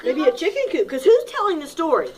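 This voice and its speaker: A woman's voice: high voice, wildly swooping pitch, fast talker, crowd noise. A woman teacher reading a storybook aloud expressively, stressing points and putting questions to her pupils in an instructive way. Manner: didactic, dramatic emphasis. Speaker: elementary school reading teacher.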